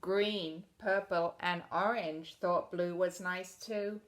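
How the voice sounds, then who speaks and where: A woman teacher reading a storybook aloud to children expressively, pausing to explain things in simple words. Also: lowish voice, steady tone.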